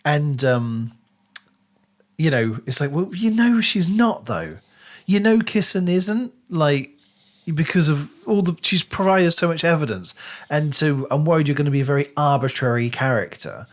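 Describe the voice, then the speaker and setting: A man co-hosting a podcast, talking through a book in casual discussion and retelling it in an animated way. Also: a little high, moderate pace. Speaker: podcast co-host.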